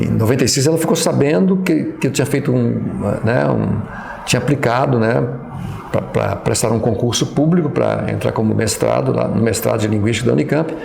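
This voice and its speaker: A middle-aged Brazilian man with a gravelly, creaky voice, reminiscing slowly in an interview. He hesitates and restarts often, padding his thoughts with fillers. The room is echoey, with faint noise behind him. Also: lowish voice, darkish tone. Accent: Brazilian Portuguese. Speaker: pastor and professor interviewee.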